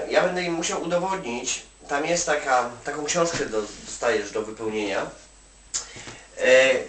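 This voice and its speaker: A man from Poland with a fairly high voice talks casually, as if on a phone call. He rambles colloquially, adding little "you know" tags as he goes. The sound is very echoey and he is some distance from the microphone. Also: fairly bright tone, a little hiss or hum. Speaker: Polish migrant worker advising an acquaintance. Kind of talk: casual one-sided phone chat. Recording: echoey room, distant from the mic.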